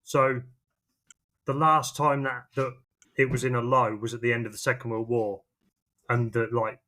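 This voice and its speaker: A man's voice: medium pitch, little pitch movement, moderate pace, bright, forceful tone. Rambling man in casual chat. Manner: rambling, informal. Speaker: podcast co-host.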